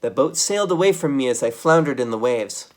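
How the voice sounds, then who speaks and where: A man reading a children's book aloud as a storytime reader, with dramatic, expressive delivery. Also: mid-range voice, a little fast.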